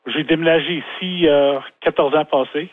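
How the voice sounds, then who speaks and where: A Canadian business owner answers questions over the phone in a halting way, often restarting his words. The line is thin and tinny, as if heard through a handset. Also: fairly high pitch, steady tone, no room sound. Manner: halting, many restarts and fillers. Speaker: interview guest, business owner. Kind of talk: phone interview. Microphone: telephone.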